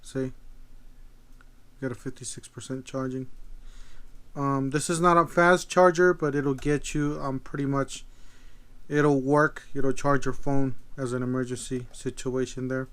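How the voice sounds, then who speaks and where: Clear-voiced man casually demoing gadgets. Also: mid-range voice, steady tone, fairly bright tone. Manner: halting, tentative, thinking aloud. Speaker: video host, gadget reviewer. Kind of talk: casual product demo.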